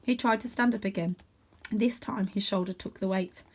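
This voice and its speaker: A woman reading a children's story aloud in an expressive narrating style. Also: medium pitch, normal speed, husky. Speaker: storybook reader.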